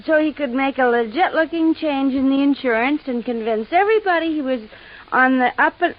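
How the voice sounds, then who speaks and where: A woman's voice: sits high, fairly quick, natural voice. A woman playing a tipsy, gullible, slangy gangster's wife in a 1950s radio drama, brash and loose-tongued. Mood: tipsy, chatty. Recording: muffled vintage radio recording.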